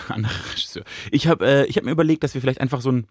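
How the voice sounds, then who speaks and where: A man's voice: mid-range voice, quick pace, no room sound, studio quality. A man, co-hosting a podcast in casual, teasing banter. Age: late 20s. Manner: teasing, playful. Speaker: podcast co-host.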